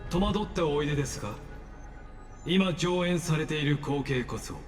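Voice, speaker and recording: A man's voice: fairly high pitch, fast, natural voice. An actor playing a courteous, theatrical male narrator in a game, with formal, polite delivery. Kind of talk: scripted Japanese game voice acting. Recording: long hall reverb over music.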